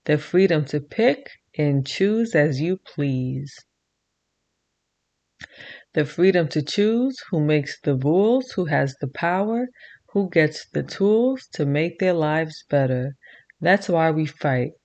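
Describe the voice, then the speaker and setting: Woman host of a kids' show, low voice, unhurried rhythmic delivery. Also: lively intonation. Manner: slow rhythmic rhyming read-aloud; bright sing-song teacher voice for kids. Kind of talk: reading a picture book aloud to kids.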